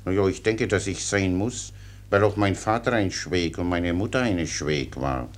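An actor playing a cheerful, simple-minded soldier who rambles with naive, obedient earnestness in a German radio play. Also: male voice, sits low, normal speed, lightly acted. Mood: earnest, servile.

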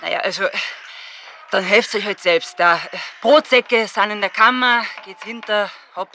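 An actor playing a harried, grumbling rustic in a radio drama, brisk and grudging. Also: male voice, high voice, sharp tone, slight background noise, exaggerated voice. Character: harried, grumbling southern rustic busy butchering. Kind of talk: German radio drama acting.

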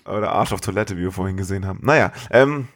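A man's voice: low-pitched, fast, a bit bright, no room sound. Casual male podcast host recapping a film.

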